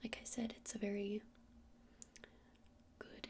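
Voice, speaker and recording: A woman playing a friendly witch shopkeeper in an ASMR roleplay, speaking in a soft breathy whisper with hesitant, informal explanations. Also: medium pitch, little pitch movement, slow, close to an everyday voice. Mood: gentle, helpful.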